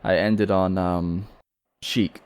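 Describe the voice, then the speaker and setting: Man, 30s, podcast co-host; low voice, brief and teasing. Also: flat delivery, takes it slowly, smooth. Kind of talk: casual chat.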